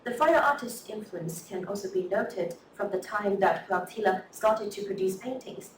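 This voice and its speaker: A woman reads her academic paper aloud with careful pacing and small hesitations. She sounds far from the microphone in a reverberant lecture room, with faint noise underneath. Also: medium pitch. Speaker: conference speaker. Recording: echoey lecture hall, distant mic.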